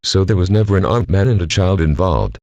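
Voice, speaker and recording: A man's voice: low voice, lively intonation, fairly quick, a little mellow, no echo, muffled recording. A man playing a curious, puzzled citizen on a scripted call to police, with a questioning manner. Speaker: caller. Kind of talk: scripted phone call drama.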